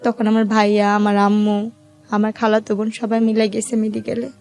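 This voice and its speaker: A woman's voice: medium pitch, monotone, normal speed, darkish tone, faint music behind. A woman with a Bangladeshi accent telling her own life story as an interview guest, in colloquial, conversational speech.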